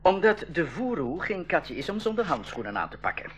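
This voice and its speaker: A patient jungle biologist. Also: male voice, a little high, very animated, fairly quick. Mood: mysterious, explaining. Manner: patient explaining. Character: William King, friendly adult male jungle biologist. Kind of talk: radio play for schools.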